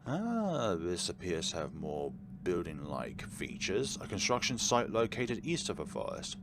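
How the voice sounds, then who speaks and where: A man narrating a visual novel playthrough aloud in a dramatic, expressive way, mixing read lines with his own asides. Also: sits low, unhurried, background noise. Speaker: let's player reading a visual novel aloud.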